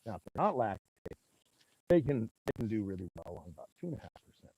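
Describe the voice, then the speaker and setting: A man with a booming voice, a rancher, casually explaining grazing math on a livestream from his pasture. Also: sing-song, fast, mellow, breathy edge, poor audio. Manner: casual, folksy, explains step by step. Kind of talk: livestream teaching talk, chatting with viewers. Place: outdoors in a pasture among cattle. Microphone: small mic clipped to his hat.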